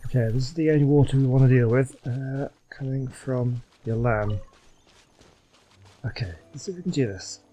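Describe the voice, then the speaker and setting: A man's voice: medium pitch, moderate pace, dark tone, low-quality recording. A man giving casual, exclamatory gameplay commentary as a chatty, self-amused streamer. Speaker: gaming streamer. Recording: game music behind.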